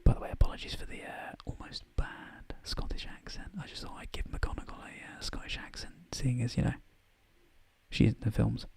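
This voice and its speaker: A man softly reading a bedtime story in ASMR style, sometimes whispering, close to the microphone. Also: medium pitch, level tone, a little fast, vocal fry, husky, no room sound. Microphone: close microphone in a dry space.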